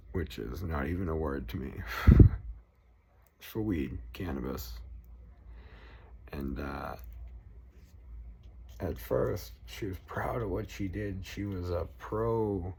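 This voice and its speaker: Gravelly Boston man ranting. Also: low voice, a little mellow. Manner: swears constantly, rambles, laughs at himself. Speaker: political vlogger. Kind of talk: profane rambling rant to camera.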